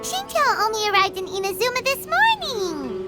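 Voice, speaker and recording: A woman voicing a tiny, excitable childlike fairy companion in a video game, squeaky and given to exclaiming. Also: normal speed, over loud music, exaggerated voice. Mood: puzzled, incredulous. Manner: exclamatory, speaks of self in third person.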